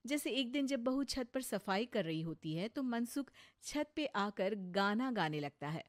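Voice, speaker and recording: Woman storyteller reading a funny moral tale, highish voice, dry close sound. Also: animated, measured pace, no room sound. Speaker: story narrator. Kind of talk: scripted narration of a comic moral story.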